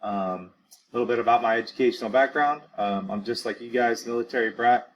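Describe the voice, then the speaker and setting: A man's voice: mid-range voice, a little fast, highs cut off, slight background noise. A man in his thirties, a teacher, giving a casual recorded introduction to his class, with many ums and uhs. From grew up on US military bases in Germany.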